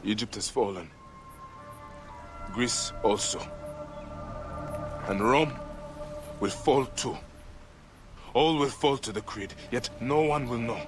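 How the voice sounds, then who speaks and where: An actor playing a weary, resolute ancient Egyptian warrior, solemn and measured in a video game scene. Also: male voice, mid-range voice, lightly acted. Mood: grim resolve. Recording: loud music behind the voice. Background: loud music.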